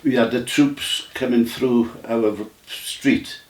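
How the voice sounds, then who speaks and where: A man, recalling his memories in a casual, halting way with many restarts. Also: mid-range voice, fairly even intonation, a little mellow. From Wales. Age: 90s. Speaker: interview guest. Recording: roomy sound from a distant mic.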